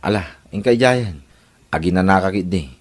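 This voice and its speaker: Man acting a low-voiced, controlling Ilocano husband. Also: normal speed, close to an everyday voice. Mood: tender, insistent. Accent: Filipino, Ilocano. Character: controlling, jealous working-class husband, doting then harsh. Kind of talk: Ilocano audio drama acting.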